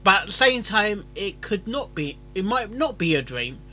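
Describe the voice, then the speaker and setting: Very bright, high-pitched man reacting. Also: big pitch swings, faint music behind. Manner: halting, repeats himself. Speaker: reaction video host. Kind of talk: casual off-the-cuff commentary.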